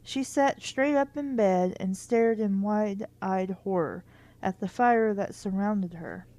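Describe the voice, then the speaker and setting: A woman with a soft, mellow voice at a medium pitch hosts a casual read-aloud. She is informal and a little wry, tripping on words now and then as she goes. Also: slow. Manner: informal, stumbles over words and interjects wry asides. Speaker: host of a book reading series. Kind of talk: casual commentary and amateur reading aloud of a children's book.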